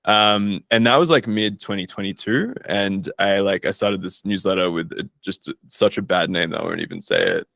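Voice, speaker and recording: A man's voice: sits low, normal speed, gravelly, hardly any pauses, a bit bright, no room sound. A man chatting casually as an interview guest, informal and self-deprecating, recorded in a studio. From Australia. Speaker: guest, startup founder and investor.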